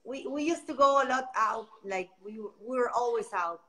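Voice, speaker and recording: A woman's voice: a little high, normal speed, fairly bright tone. Brief: Costa Rican woman, 30s, Spanish-accented English, friendly guest, loose and rambling on a video call. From Costa Rica. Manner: friendly, rambling, repeats words while searching for them. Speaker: guest, founder of a beach cleanup project. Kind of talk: casual interview answers.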